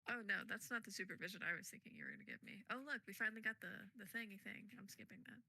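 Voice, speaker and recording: A woman's voice: medium pitch, huge pitch swings, racing, vocal fry, bright, forceful tone, no room sound. A woman chatting casually and teasing her friend while playing a game. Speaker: co-player. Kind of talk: casual gaming chat.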